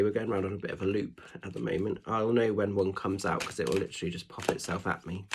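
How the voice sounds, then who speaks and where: A man, a spiritual medium, giving a casual oracle card reading in a nasal, stuffed-up voice, chatty and gently reassuring. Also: lowish voice, noisy background. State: congested with hay fever or a cold. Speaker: spiritual medium and tarot reader.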